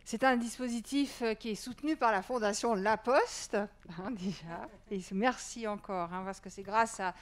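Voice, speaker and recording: A woman's voice: medium pitch, normal speed, few pauses. A woman who writes and teaches, answering earnestly at a panel discussion, fluent but with frequent fillers. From Iran.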